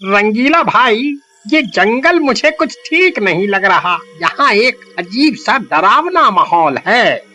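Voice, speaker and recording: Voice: mid-range voice, sing-song, takes it slowly, sharp tone, heavily acted. A performer voicing a timid, cowardly young male sidekick in a horror storybook tale, anxious and whiny. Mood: scared.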